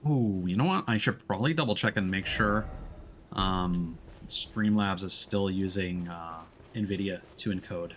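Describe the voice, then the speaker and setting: A man giving casual live commentary as he streams a video game, often pausing to think aloud. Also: low voice, fairly bright tone, a little hiss or hum.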